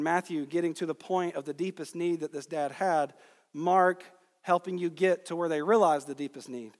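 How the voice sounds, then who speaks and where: A man from Georgia in the United States preaching an expository sermon to a congregation, teaching with deliberate emphasis on key words. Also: on the high side. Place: large reverberant room, speaking to a congregation. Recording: long reverb of a large hall.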